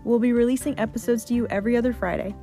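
A woman's voice: a little high, steady tone, rapid-fire, mellow, faint music behind. A young adult woman co-hosting a faith podcast in casual, earnest, encouraging chat. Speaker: podcast co-host.

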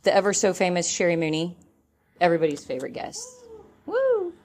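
A woman's voice: lowish voice, wildly swooping pitch, a little fast, darkish tone. A woman hosting a podcast, chatting with enthusiasm and energy. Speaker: podcast host. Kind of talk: upbeat podcast chat.

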